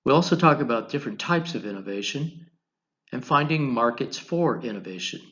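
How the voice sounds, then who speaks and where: An American man teaching an online class, giving a deliberate, instructional lecture over slides, phrase by phrase. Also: mid-range voice, expressive, a bit bright, lo-fi sound. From United States, Colorado.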